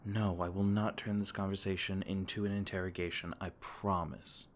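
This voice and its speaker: A man voicing a gentle, protective male fae king in an ASMR comfort roleplay, soft-spoken and tender, stumbling over his words now and then. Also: low voice, level tone, moderate pace, a little hiss or hum, natural voice. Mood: tender, soothing.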